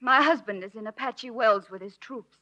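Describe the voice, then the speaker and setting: An actress playing a resolute, proper young wife in a 1940s western radio drama. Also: female voice, on the high side, normal speed, sharp tone. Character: resolute, proper young married woman, expecting a baby. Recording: dull vintage 1940s radio sound.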